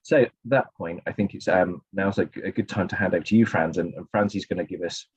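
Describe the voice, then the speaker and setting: Deep-voiced man hosting a webinar. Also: fast, husky, no room sound. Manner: hesitant, filler-heavy handover. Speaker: webinar host. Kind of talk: webinar introduction. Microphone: video call mic. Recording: dull lo-fi audio, dry.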